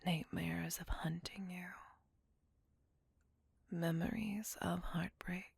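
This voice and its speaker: A woman playing a centuries-old, possessive and tormented female vampire in an intimate ASMR roleplay, speaking in a breathy whisper with formal, archaic, tenderly menacing delivery. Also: lowish voice, little pitch movement, slow, heavily acted. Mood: remorseful, tender.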